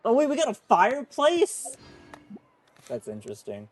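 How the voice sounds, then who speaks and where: A man's voice: very high-pitched, huge pitch swings, normal speed, faint music behind. A man giving casual live commentary as he streams a game, easygoing, with muttered asides.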